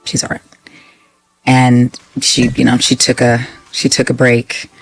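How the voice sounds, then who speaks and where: A middle-aged man, an interview guest, earnestly retelling a personal story in a halting way. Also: medium pitch, monotone, creaky, soft background music, poor audio.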